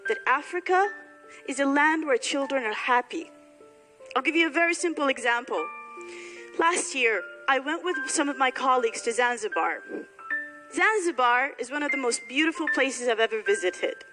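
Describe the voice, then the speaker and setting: A woman from Somalia giving a public speech to graduates, speaking emphatically and building points through rhetorical repetition. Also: high voice. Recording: hall reverb, faint music.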